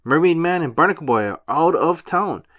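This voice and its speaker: A man's voice: fairly high pitch, expressive, moderate pace, a bit bright. A man voicing a tiny male plankton villain who schemes and gloats in a conspiratorial way. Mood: gloating.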